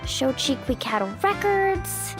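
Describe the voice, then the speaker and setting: A woman's voice: sits high, very animated, slow pace, over music, heavily acted. A woman playing an upbeat, friendly, laid-back young tour guide in a video game. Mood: cheerful, inviting.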